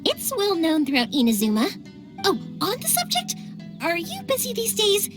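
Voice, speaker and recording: A woman's voice: extremely high pitch, normal speed, over music, full character voice. A woman voicing a cheerful, playful young fireworks girl in a video game, earnest and informal. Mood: upbeat, friendly. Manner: expressive, informal, animated. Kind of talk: English video game voice acting.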